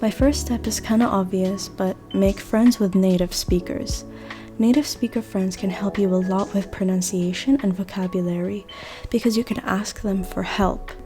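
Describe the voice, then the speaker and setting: A young woman, a native Arabic speaker, hosting her own channel and giving a measured, earnest scripted lesson on learning a language. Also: mid-range voice, fairly even intonation, mellow, soft background music. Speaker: language teacher and channel host. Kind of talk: scripted educational monologue.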